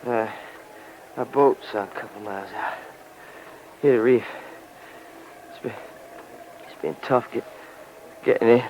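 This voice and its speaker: A man's voice: medium pitch, a little slow, pauses often, lightly acted. An actor playing an exhausted shipwrecked man, out of breath and speaking in broken phrases in a 1940s radio drama. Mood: exhausted, halting. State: out of breath, spent. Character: exhausted shipwrecked man just struggled ashore. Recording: muffled, noisy 1940s radio recording.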